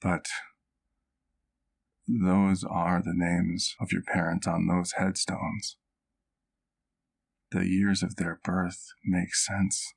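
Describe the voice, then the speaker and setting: A man playing a gentle, protective vampire doctor in an ASMR roleplay, speaking in a soft, measured, careful way. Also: sits low, completely flat delivery, vocal fry, exaggerated voice. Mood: concerned, troubled.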